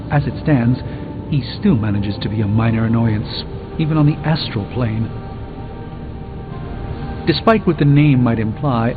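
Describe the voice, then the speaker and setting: Thin, phone-like audio with loud music carries a learned video game sorcerer. His voice sits a little high for a man. He speaks in composed, formal, articulate sentences, with a dry, wry wit. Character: learned, composed, dryly witty adult male sorcerer. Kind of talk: video game character dialogue.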